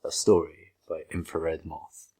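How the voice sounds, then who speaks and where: A Scottish man with a husky voice, reading aloud with casual, deadpan asides. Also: very deep voice, unhurried. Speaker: reader on a group fan fiction reading show.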